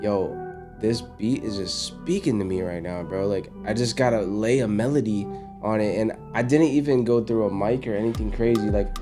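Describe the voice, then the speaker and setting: A man's voice: on the low side, moderate pace. A man, a singer, chatting casually and easily, saying "bro" a lot. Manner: easygoing, laughs often, says "bro" a lot. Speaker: singer, artist. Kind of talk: casual chat. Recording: music clearly playing behind.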